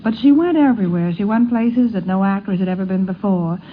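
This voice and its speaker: Woman in her forties, from the United States, medium pitch, theatrical emphatic phrasing, conversational reminiscence, tinny old radio sound, light hiss. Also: a little fast. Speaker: interviewee recalling family life. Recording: tinny, faintly noisy 1950s radio recording.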